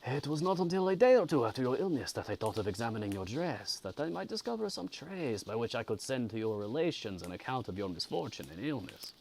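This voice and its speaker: A man voicing a courteous, sympathetic French gentleman from a gothic novel, with a put-on French accent and formal phrasing. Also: mid-range voice, very animated, fast talker, vocal fry, few pauses, slight background noise, pitched above the performer's own voice, low-quality recording. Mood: gentle concern.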